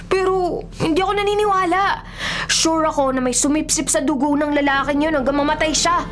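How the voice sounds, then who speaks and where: A woman's voice: high voice, fairly quick, a bit bright. A woman playing a frightened, insistent young boy in a radio horror drama, earnest and dramatic. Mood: insistent, eerie.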